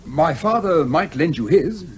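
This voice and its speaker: An actor playing a dutiful, apologetic young English son in a radio comedy, polite, diffident and hesitant. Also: male voice, a little high, expressive, unhurried. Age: young adult. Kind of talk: radio comedy drama acting.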